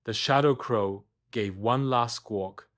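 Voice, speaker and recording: Slow-paced man telling a bedtime story. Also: mid-range voice, a bit bright. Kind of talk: scripted children's bedtime story narration.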